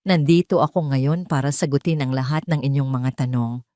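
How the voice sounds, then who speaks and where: A woman's voice: extremely low pitch, moderate pace, mellow, no room sound, studio quality. A woman voicing an earnest, defiant male doctor in an audio story, speaking emphatically. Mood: bold, earnest.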